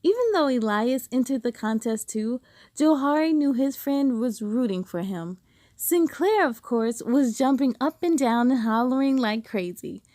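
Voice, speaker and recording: Woman, very high voice, children's read-aloud narration, expressive, dramatic emphasis, dry close sound. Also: a little slow, no room sound. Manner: animated storytelling with dramatic emphasis. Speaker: storybook reader.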